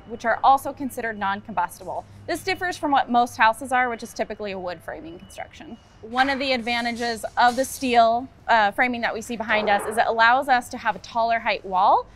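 A woman in her thirties with a high, bright-pitched voice explains construction progress in a steady, measured way. She is recorded outdoors at a building site on a lapel mic, with faint site ambience behind her. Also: a little hiss or hum. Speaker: project architect. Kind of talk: on-site interview.

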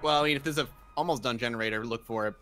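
A man's voice: mid-range voice, rapid-fire, bright, forceful tone, soft background music. A man bantering casually with friends during an online game. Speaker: player in a group of gamers.

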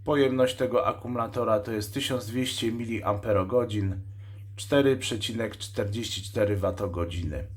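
A man hosting an unscripted product demonstration, explaining a device's specs in a matter-of-fact, technical way and pausing mid-sentence while he handles it. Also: lowish voice. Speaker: radio shop host reviewing a walkie-talkie.

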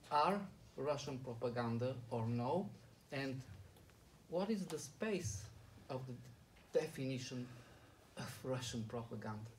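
A man's voice: mid-range voice, recorded from a distance, a little hiss or hum, poor audio. A man with a Bulgarian accent asks an insistent question from the audience, repeating his points. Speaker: audience member asking a question. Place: conference room. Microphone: handheld microphone, camera far off.

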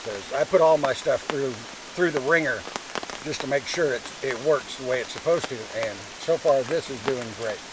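A man's voice: mid-range voice, moderate pace. An American man casually narrating his motorcycle camping trip with dry, rambling humor, outdoors and in a tent. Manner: laid-back, dry humor, rambling self-talk. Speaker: moto camping vlogger. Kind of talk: casual vlog narration to camera. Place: tent and campsite outdoors by a creek in the rain. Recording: distant camera mic with rain hiss.

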